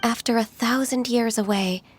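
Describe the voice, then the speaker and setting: A woman's voice: fairly high pitch, steady tone, moderate pace, soft background music, natural voice. A woman playing a formal, melancholy half-immortal young woman in a video game, polite and reserved. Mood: wistful.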